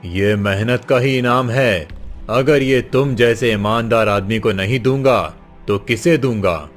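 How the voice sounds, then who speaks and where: A man voicing a kind, grave old king in a cartoon moral story, solemn and measured. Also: mid-range voice, soft background music, close to an everyday voice. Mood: kindly, solemn.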